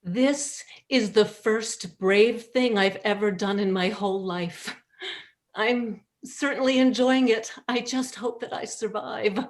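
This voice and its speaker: A woman playing a cheerful, gently defiant old lady in a staged play reading over a video call. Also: mid-range voice, close to an everyday voice. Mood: quietly proud. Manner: unhurried, expressive, gently chuckling.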